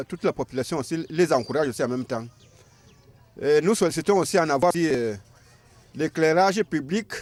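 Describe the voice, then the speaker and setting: An older man with a Cameroonian French accent speaking as a local resident, halting and repeating himself with many fillers. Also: fairly high pitch, darkish tone. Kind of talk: interview statement. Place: outdoors in a neighborhood.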